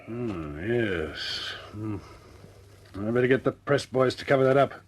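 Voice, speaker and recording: A man acting in a radio drama as a senior British air force commander, measured and businesslike, with a clipped, understated delivery and a British accent. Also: mid-range voice, lively intonation, close to an everyday voice. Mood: grave, businesslike. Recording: noisy old radio recording with clicks.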